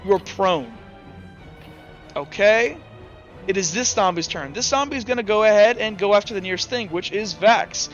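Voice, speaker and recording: High, bright-voiced young man running a game. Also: lively intonation, moderate pace. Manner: loose, rambling narration with frequent fillers. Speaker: game master. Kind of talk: narrating a tabletop game. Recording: slightly muffled, dull audio. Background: faint background music.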